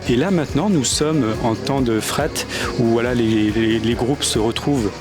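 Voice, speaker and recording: A man's voice: medium pitch, moderate pace, music in the background. A man reporting on location during a youth pilgrimage, talking outdoors in a town square with many hesitations and restarts. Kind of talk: on-location vlog commentary.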